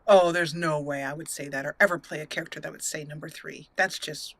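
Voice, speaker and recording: A woman's voice: low-pitched, fairly even intonation, a little fast. A woman giving casual, chatty roleplay commentary on a game, slipping into emotive, story-like narration. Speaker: let's play host and gamer.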